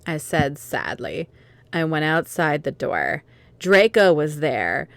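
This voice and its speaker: A woman's voice: sits low, very animated, slow pace, gravelly, fairly bright tone, no echo. A woman narrating a dramatic reading of fan fiction, with some commentary of her own.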